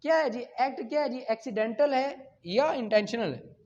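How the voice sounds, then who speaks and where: A man's voice: extremely high pitch, moderate pace, a little mellow. A man with a Pakistani accent teaching in an explanatory lecture style, posing rhetorical questions and checking that students follow. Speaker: law teacher. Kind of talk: explanatory lecture, reading statutes then explaining. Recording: dull lo-fi recording.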